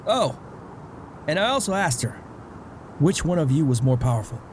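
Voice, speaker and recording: A man's voice: fairly high pitch, sing-song, moderate pace, slight background noise. A man playing a blunt, nosy but caring father in a comic dub, probing and scolding. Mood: offhand. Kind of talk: amateur comic dub voice acting.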